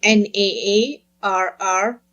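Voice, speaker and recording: A woman speaking as a podcast guest in a casual interview, earnest and quick to laugh. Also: medium pitch, steady tone, fairly bright tone, highs cut off.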